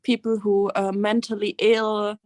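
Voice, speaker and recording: A woman's voice: mid-range voice, flat delivery, measured pace, darkish tone, a little hiss or hum. A woman from Germany, a social worker, introducing herself hesitantly with many fillers. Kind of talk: self-introduction.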